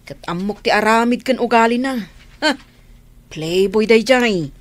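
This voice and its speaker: A woman's voice: a little high, a little slow, no echo, natural voice. A woman acting a nosy, outspoken young friend in Ilocano radio drama, probing and sceptical, with an Ilocano accent. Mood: worried, gossipy. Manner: probing, questioning.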